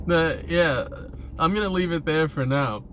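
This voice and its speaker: A man giving a casual, rambling monologue to viewers, halting and repeating himself, with informal, sweary phrasing. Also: a little high, fairly bright tone, slight background noise. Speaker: vlogger.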